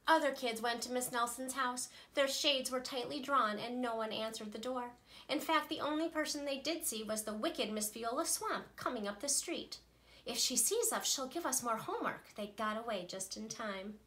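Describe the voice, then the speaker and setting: A teacher reads a picture book aloud to children, playful and expressive. Her voice is bright and crisp and sits a little high. She leans into the story's moments. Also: moderate pace.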